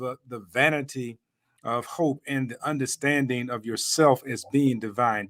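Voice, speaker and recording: Psychologist guy on a panel, middle pitch, earnest, stacks phrases and restarts. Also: no echo, clean studio sound. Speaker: psychologist guest. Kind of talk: panel discussion.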